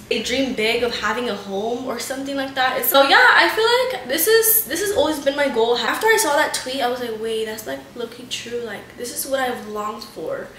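A woman's voice: on the high side, fairly bright tone, roomy sound, a little hiss or hum, rough recording. A young woman in her twenties chatting casually to her camera at home, venting and swearing a lot. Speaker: lifestyle vlogger. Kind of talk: casual storytime vlog. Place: bright living room and kitchen at home.

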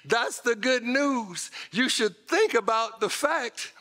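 A man's voice: extremely high pitch, expressive, takes it slowly, sharp tone. A middle-aged American man preaching a sermon in an emphatic, conversational way, speaking directly to his congregation in a reverberant church auditorium. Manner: emphatic, conversational, addresses listeners directly with folksy asides. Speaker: pastor. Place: large church auditorium stage, indoors. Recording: reverberant hall sound from stage.

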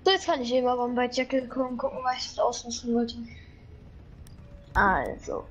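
A female gamer with a fairly high voice talks casually during an online match. The voice-chat recording carries faint noise. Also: steady tone, moderate pace, lo-fi sound. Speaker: video game player.

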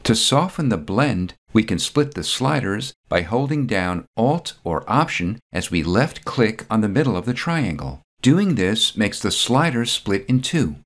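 A man reading a scripted step-by-step software tutorial voice-over, calm and methodical. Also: on the low side, a little slow, hardly any pauses.

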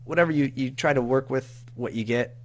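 A man being interviewed in casual chat, speaking haltingly, repeating words and trailing off. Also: mid-range voice. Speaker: audio drama writer-producer, interview guest. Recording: faint background noise and hum.

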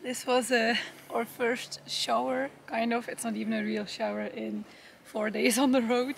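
High-voiced cheerful young woman vlogging. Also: steady tone, measured pace, creaky, slight background noise. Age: young adult. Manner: cheerful, chatty, addresses viewers directly. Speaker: travel vlogger. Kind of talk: casual travel vlog talk to camera. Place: outdoors by a road and a lake. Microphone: handheld camera at arm's length. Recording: music under the voice.

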